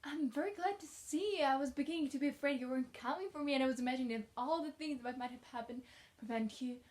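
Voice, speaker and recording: A woman's voice: high-pitched, quick pace, a little mellow, voice pushed up, lightly acted. A woman voicing a talkative, imaginative young orphan girl from a storybook, effusive and dreamy. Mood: eager, chatty.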